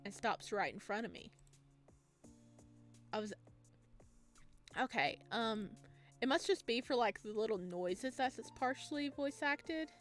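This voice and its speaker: High-voiced woman doing a slow-paced let's play. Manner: chatty, expressive asides between read lines. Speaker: video game streamer, let's player. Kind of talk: let's play, reading game text aloud with excited reactions. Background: game music.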